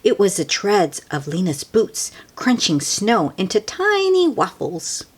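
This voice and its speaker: A woman reading a picture book aloud to children, expressive and sometimes hushed, with simple asides to her listeners. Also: medium pitch, breathy edge. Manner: slow, expressive, hushed at times, explains words simply.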